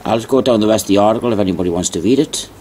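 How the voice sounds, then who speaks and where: A man with a fairly low voice reads old newspaper articles aloud and adds plain commentary. His delivery is deliberate, and he repeats key phrases. A faint background noise runs under the voice. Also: a little mellow. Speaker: video narrator.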